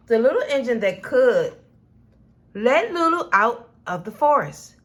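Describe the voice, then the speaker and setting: Slow, lively woman reading a children's story. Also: a little high. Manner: expressive, deliberate storytelling. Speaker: storybook reader. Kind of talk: children's read-aloud narration.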